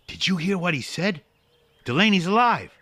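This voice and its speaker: A man plays an eager gentleman sleuth in a radio mystery. The voice is bright and animated, earnest and excitable, always probing with questions. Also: sits high, normal speed, heavily acted. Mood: excited, puzzled. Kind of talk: old-time detective radio drama acting.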